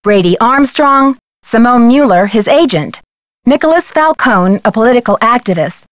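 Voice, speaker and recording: A woman acts out a young female sleuth in an adventure game. Her voice is fairly high, and she speaks with composed, matter-of-fact determination. The recording is very dry. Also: animated, moderate pace, no echo, close to an everyday voice. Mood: serious, focused. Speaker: video game voice actor. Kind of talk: video game dialogue.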